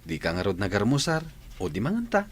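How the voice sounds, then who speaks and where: A man's voice: lowish voice, huge pitch swings, fairly quick. A man with an Ilocano accent playing a friendly, generous village farmer in a radio drama, easygoing and coaxing.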